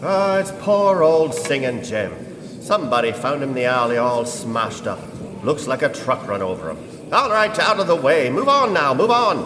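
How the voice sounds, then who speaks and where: A man's voice: mid-range voice, animated, moderate pace, lightly acted. An actor playing a folksy working-class policeman barking orders in a live radio drama. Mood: brisk, commanding. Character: gruff, bossy working-class street policeman clearing a crowd. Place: church hall stage. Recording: echoey hall, crowd noise behind.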